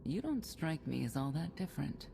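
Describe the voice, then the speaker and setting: An actress playing a self-assured, candid woman knight in a game, composed and measured. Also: low voice, big pitch swings, creaky, mellow, slight background noise, full character voice. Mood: resolute, guarded. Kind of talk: video game cutscene acting.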